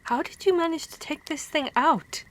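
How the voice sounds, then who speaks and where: A woman's voice: sits high, normal speed, voice pushed up, natural voice. Woman acting an exasperated, caring vampire hunter. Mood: shocked, worried. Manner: expressive, stammering, exasperated. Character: female vampire hunter, scolding but caring comrade. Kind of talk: ASMR roleplay acting.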